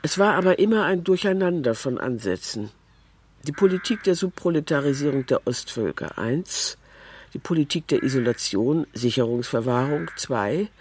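A man giving a scripted literary reading in a deliberate, analytical manner. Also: on the high side, animated, a little hiss or hum.

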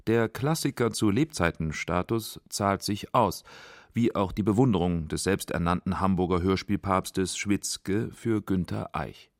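Low-voiced male documentary narrator. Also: unhurried, no room sound, clean studio sound.

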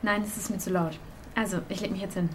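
An actress as a reserved young woman in a radio play. Her medium-pitched voice is terse and weary yet obliging. A faint ambience runs behind her. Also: steady tone, quick pace, a little hiss or hum, close to an everyday voice. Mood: reserved, tired. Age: young adult. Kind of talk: naturalistic radio drama dialogue.

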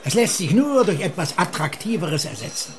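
A man reads scripted literary prose. His voice sits a little higher than most men's. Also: sing-song, moderate pace. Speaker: reader.

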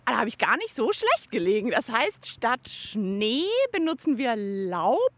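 A woman's voice: fairly high pitch, sing-song, unhurried, a bit bright, a little hiss or hum. A woman co-hosting a children's radio show outdoors in a park, curious and playful. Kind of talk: lively kids' show presenting.

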